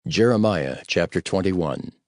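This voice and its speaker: A man narrating scripture from a script in a formal, measured storytelling manner. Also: deep voice, lively intonation, a little mellow.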